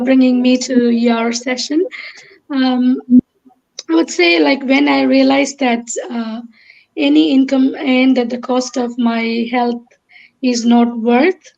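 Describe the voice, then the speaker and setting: A woman from Kerala speaks with a Malayali Indian accent as a native Malayalam speaker. Her voice is fairly high with a gravelly fry, and she answers hesitantly in short phrases. The online call sounds dull and lo-fi. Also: fairly even intonation, slow pace, darkish tone. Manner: hesitant, piecing thoughts together in short phrases. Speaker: interview guest. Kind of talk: unscripted personal account.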